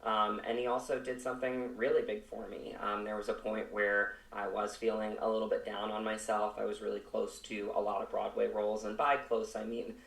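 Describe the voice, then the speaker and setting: A man from Virginia, an actor, giving casual, halting interview answers peppered with "you know". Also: on the low side, level tone, vocal fry, fairly bright tone, recorded from a distance. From Virginia, United States. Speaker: actor, podcast guest. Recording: roomy echo, away from mic.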